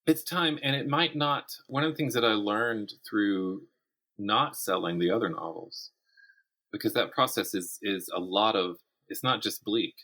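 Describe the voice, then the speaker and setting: A man speaking as a writer guest in a conversational interview, telling things in an animated way and voicing imagined quotes. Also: fairly low pitch, unhurried, poor audio.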